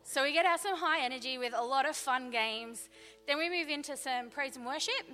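Bright, high-voiced woman children's leader. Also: brisk. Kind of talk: explaining a church program. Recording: reverberant church hall with music behind.

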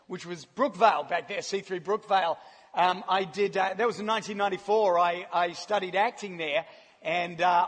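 Guest preacher, man, husky and croaky, casual and joking, live in a big reverberant hall. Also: high-pitched. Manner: chatty, self-deprecating, laughs often. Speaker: guest speaker. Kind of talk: casual, joking church talk. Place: large church hall.

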